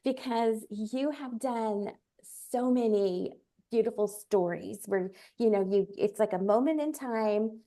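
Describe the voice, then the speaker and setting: A bubbly woman leads an interview over a video call. She gushes and laughs easily between her questions. Her voice sits fairly high. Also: measured pace, warm tone. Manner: bubbly, laughs often, gushing. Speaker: emcee and interviewer. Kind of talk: casual interview.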